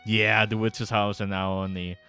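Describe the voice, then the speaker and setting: A man's voice: fairly low pitch, little pitch movement, measured pace, vocal fry, bright, forceful tone, clean tone. A man co-hosting a livestream in loose, casual chat. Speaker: livestream co-host. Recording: dry voice with music behind it.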